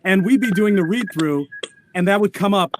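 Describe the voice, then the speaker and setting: Higher-pitched man on a noisy call. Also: normal speed. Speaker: voice actor guest. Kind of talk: casual anecdote telling. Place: remote video call. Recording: noisy video call audio with clicks.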